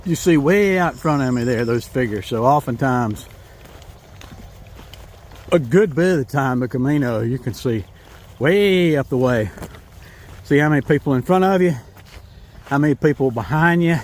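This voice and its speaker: A man's voice: medium pitch, lively intonation, normal speed, pauses often, a little mellow. A man from North Carolina with a Southern accent, vlogging in a casual, rambling way while walking a rural trail. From North Carolina, USA. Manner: rambling, folksy, self-correcting. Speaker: hiking vlogger. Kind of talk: casual walking vlog to camera. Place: outdoors on a rural trail in the rain.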